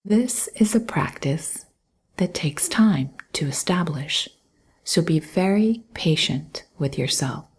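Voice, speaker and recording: A woman guiding a mindfulness meditation in a soft, breathy voice, gently and calmly, with long pauses between instructions. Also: low-pitched. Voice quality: soft, breathy, often near a whisper. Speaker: meditation guide. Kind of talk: guided mindfulness meditation, scripted narration.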